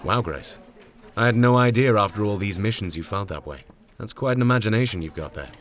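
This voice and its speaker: A man playing a wry, steady mission control supervisor in an audio drama, with a dry, composed manner. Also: lowish voice, a little fast, natural voice. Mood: reassuring. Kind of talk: naturalistic audio drama acting.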